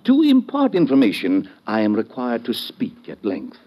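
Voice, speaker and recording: A pompous, swooping old-radio professor. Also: male voice, medium pitch, huge pitch swings, moderate pace, highs cut off. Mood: pompous, apologetic. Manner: florid, verbose, lofty orotund phrasing. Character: pompous, long-winded philosophizing professor, a toga-clad tippler. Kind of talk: old-time radio comedy-detective acting.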